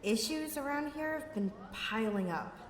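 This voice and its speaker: A woman's voice: high voice, expressive, moderate pace, echoey room, in a large hall. A woman playing a cocky, sarcastic young pickpocket outlaw in a sci-fi audio roleplay, muttering wryly to herself. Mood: bitter, brooding. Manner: wry, sardonic, slangy, talking to herself.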